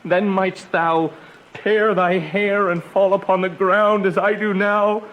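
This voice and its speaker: A man's voice: sits high, flat delivery, dull audio, slight background noise. A man playing a passionate, despairing young banished lover in a Shakespearean radio drama, with anguished, theatrical delivery. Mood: bitter despair. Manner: anguished, theatrical, slow declamation.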